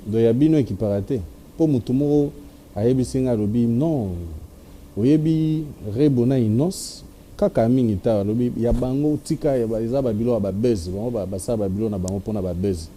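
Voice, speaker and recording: A man's voice: medium pitch, a little fast, mellow, a little hiss or hum. A man with a Congolese French accent hosting a television interview, addressing viewers formally and switching between French and Lingala. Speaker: TV show host.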